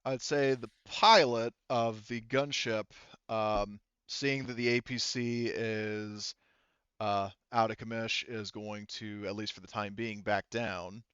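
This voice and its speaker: A man with a clear, smooth voice referees a tabletop game from close on a headset. He talks in halting bursts, working out rules aloud. The sound is dry and a little muffled. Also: fairly low pitch, fairly even intonation, fairly bright tone, no echo. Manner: halting, thinking aloud while checking rules. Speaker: game master. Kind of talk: narrating and refereeing an online tabletop roleplaying game. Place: online voice call. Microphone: gaming headset.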